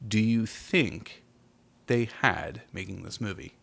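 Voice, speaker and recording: A man's voice: fairly low pitch, takes it slowly, gravelly. A man in his forties co-hosting a podcast, talking casually about film and explaining things encouragingly. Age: 40s. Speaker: podcast co-host. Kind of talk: casual film chat with some read facts.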